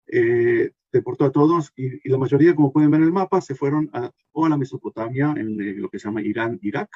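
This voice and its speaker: A man's voice: mid-range voice, a little fast, warm tone, no room sound, dull audio. A man from Argentina, presenting a workshop with many fillers and restarts. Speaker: consultant giving a workshop. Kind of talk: recorded presentation talk.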